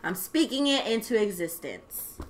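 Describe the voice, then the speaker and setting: A young woman co-hosting a podcast, talking casually in an animated, rambling way with plenty of swearing. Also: mid-range voice, moderate pace, slight background noise, low-quality recording. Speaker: podcast co-host. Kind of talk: casual chat.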